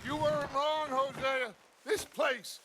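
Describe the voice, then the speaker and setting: A high-voiced, commanding American outlaw leader. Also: male voice, steady tone, slow pace, heavy background noise. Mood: triumphant. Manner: grandiose, rallying, oratorical. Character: charismatic, commanding male outlaw gang leader of the Old West. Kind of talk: dramatic game cutscene acting.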